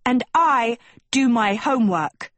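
A woman's voice: mid-range voice, expressive, a little slow, slightly rough, dry recording. A woman voicing a grumpy, stubborn teenage girl in a storybook, curt and snappish in manner. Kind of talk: acted storybook dialogue.

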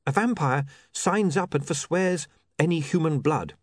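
Narrator as a sharp, practical young woman in an audiobook, raised pitch, dry read. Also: unhurried, no room sound. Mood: brisk. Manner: crisp, matter-of-fact.

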